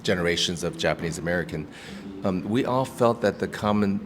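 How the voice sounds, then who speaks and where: Low-voiced guy talking earnest in a restaurant, lots of ums and restarts, echoey with chatter noise behind. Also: fairly quick. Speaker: actor and activist guest. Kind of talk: casual interview talk. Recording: roomy reverb with background noise.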